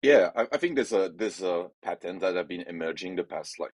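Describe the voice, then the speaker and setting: A man with a French accent and a low voice speaks in a dry, close recording. He gives firm opinions in a casual, rambling way, filling his sentences with "like" and "you know". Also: animated, fairly quick, a little mellow, husky, no echo. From France. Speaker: podcast guest, head of growth. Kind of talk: casual business conversation.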